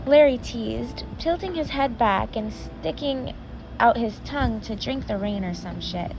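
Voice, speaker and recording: A woman's voice: on the high side, measured pace, background noise. A woman reading a fan fiction story aloud, sometimes stumbling and correcting herself. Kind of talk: scripted story narration.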